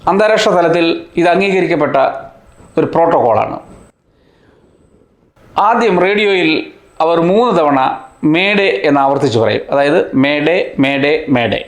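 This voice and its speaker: A man hosting a science explainer, reading scripted narration in deliberate short phrases with rhetorical questions. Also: on the high side, lively intonation. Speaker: host of a science explainer channel. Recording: roomy echo on the voice.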